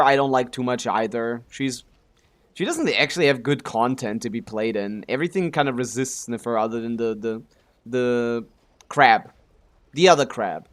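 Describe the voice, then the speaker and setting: A man chatting casually as he livestreams a video game, rambling and complaining with plenty of swearing. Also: mid-range voice. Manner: profane, complaining. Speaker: live streamer playing a video game. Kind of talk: casual rambling gaming chat with viewers.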